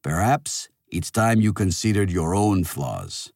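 Ancient artificial king for an audiobook, played by a male narrator: pompous, lecturing, hugely animated pitch swings, close dry sound. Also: slow pace, creaky, dry recording, full character voice. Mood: emphatic, lecturing. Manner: theatrical, lecturing. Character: forceful, pompous male artificial monarch, centuries old, morally certain.

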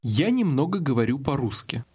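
A man's voice: mid-range voice, very animated, fairly quick, darkish tone. A man giving scripted instructions in a language course, prompting the listener through each phrase. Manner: clear, guiding prompts.

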